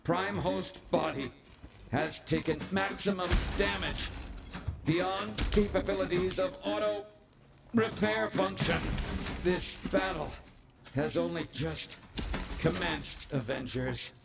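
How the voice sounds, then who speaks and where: A man voicing a menacing, grandiose robot villain in a video game, with a gravelly synthetic voice and ominous delivery. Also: high-pitched, background noise, heavily acted. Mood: damaged, defiant. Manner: slow, deliberate, ominous. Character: menacing male robot villain, cold, grandiose, bent on conquest. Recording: loud music under the voice.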